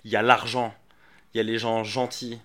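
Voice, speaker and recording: A man, a comedian guest on a podcast, talking in a playful, animated way, laughing often and acting out little scenes. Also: fairly low pitch, brisk. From Geneva, Switzerland. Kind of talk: casual podcast chat.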